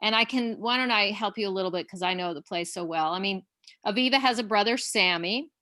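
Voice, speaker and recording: A woman's voice: medium pitch, fairly quick, sharp tone, dry recording, studio quality. A woman playwright answering audience questions on a video call, thinking aloud with many restarts. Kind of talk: casual Zoom Q&A chat.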